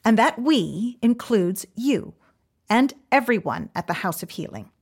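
Self-assured government minister: crisp, formal and bossy, highish with expressive pitch, comedy audio drama. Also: female voice, unhurried, heavily acted. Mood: officious.

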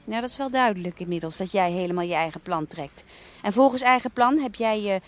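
An actress playing a brisk, exasperated female editor in a radio comedy, clipped and interrogating. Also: mid-range voice, animated, lightly acted. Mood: sceptical.

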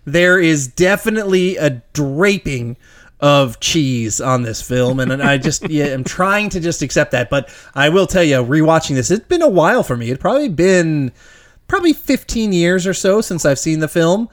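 A man from Georgia chatting about a film on a podcast, sitting a little high in pitch. He talks in an animated way and laughs often. His voice leaps up whenever he gets excited. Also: normal speed. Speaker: podcast co-host. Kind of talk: casual movie review chat.

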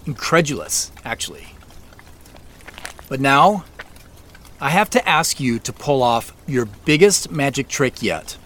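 An actor playing a stranded, exasperated office worker pleading for help, halting with dramatic pauses, in an audio drama. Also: male voice, mid-range voice, big pitch swings, a bit bright, a little hiss or hum.

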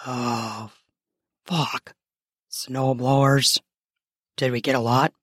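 A woman doing a husky, grumpy man. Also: rumbling low, fairly even intonation, very slow, fairly bright tone, no echo, clean studio sound, pitched below the performer's own voice, heavily acted. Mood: groggy. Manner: groggy, growling, commanding. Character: gruff, grumpy, dominant young man just woken.

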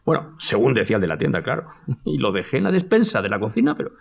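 An actor playing a nervous, eager dinner host in audio fiction, stammering and repeating himself. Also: male voice, on the low side, huge pitch swings, fast talker, gravelly, slightly rough, exaggerated voice. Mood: pleading, evasive. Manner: stammering, repeating words, flustered. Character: nervous, eager, evasive male dinner host among friends. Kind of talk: live-recorded audio fiction acting.

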